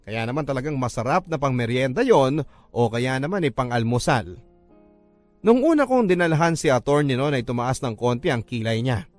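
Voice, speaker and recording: A Filipino man reads in the first person as a radio storyteller. His delivery is scripted and dramatic, in a middle register. Music runs softly behind his voice. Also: mid-range voice, lively intonation, moderate pace, no room sound. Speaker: radio storyteller reading a listener's letter. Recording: music bed under the voice. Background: background music.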